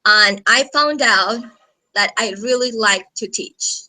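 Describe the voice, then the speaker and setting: Female guest teacher, thirties, Colombian accent, native Spanish speaker. Crisp bright tone, slow informal delivery over a video call. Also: fairly high pitch, rough recording. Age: 30s. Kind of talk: informal self-introduction to a class.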